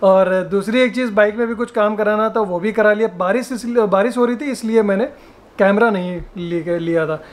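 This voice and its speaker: A man's voice: high-pitched, normal speed. A man from Uttar Pradesh, around his thirties, chatting casually and animatedly to a handheld camera as a vlogger in a hotel room. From Uttar Pradesh, India. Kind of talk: casual vlog chat. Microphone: camera held at arm's length. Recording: some background room noise.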